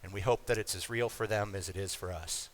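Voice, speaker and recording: A man's voice: low-pitched, brisk. A man leading a show, speaking informally as he introduces it and prays. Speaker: show leader introducing and praying.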